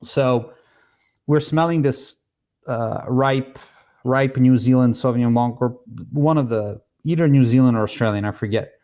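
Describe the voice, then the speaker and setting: A man's voice: mid-range voice, measured pace, warm tone. Man from Serbia explaining things. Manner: explanatory, storytelling, many restarts. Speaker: podcast guest, cigar maker. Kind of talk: casual podcast conversation.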